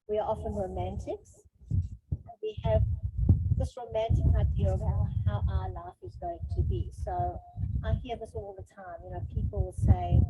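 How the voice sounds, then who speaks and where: A middle-aged woman giving an informal, reflective talk as a guest speaker, explaining things directly to her listeners. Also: mid-range voice. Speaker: guest speaker on relationships and life stages. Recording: noisy, dull lo-fi sound with clicks.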